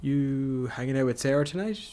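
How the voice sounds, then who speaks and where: A man's voice: mid-range voice, lively intonation, normal speed, mellow, slight background noise, natural voice. An Irish man playing a worried, blunt middle-aged father with a sardonic way of talking in a radio drama. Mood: weary, probing. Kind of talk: naturalistic radio drama acting.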